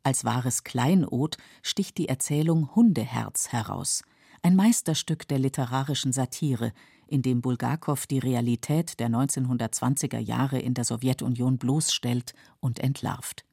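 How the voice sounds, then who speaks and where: A woman narrating a scripted documentary in a measured, factual and carefully articulated way. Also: a bass voice, vocal fry, dark tone, husky, no echo, clean studio sound.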